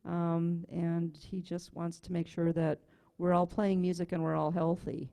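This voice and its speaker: A woman's voice: on the low side, monotone, measured pace, vocal fry, dark tone. A woman with a Wisconsin accent hosting an online music class over a video call, chatting casually in a folksy, informal way. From Wisconsin, USA. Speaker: music class teacher and host.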